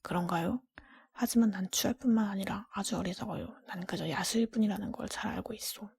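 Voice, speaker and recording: A woman voicing a sad, courteous male beast from a fairy tale, speaking formally and humbly in a breathy whisper. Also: medium pitch, brisk, a little mellow, no room sound. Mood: sad, humble. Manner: formal, old-fashioned, self-deprecating speech.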